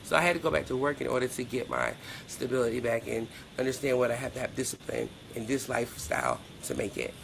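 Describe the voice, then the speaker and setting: Blunt middle-aged woman with gravelly fry. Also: a bass voice, quick pace, hardly any pauses, noisy background. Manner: blunt, emphatic, colloquial. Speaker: podcast guest. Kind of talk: candid personal interview.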